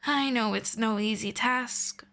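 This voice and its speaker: A woman voicing a gentle, patient female elf mentor in an ASMR roleplay, speaking softly and slowly and slipping into whispers. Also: a little high, vocal fry, heavily acted. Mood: gentle, soothing. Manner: soft-spoken, slow and patient, drifting into whispers. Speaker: voice actor.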